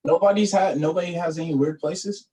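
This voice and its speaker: A man's voice: fairly high pitch, fairly even intonation, brisk, mellow, breathy edge, low-quality recording. A man in his twenties chatting casually on a livestream, reading out viewer comments and teasing his friends. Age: 20s. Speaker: concert organizer. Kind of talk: casual chat among friends.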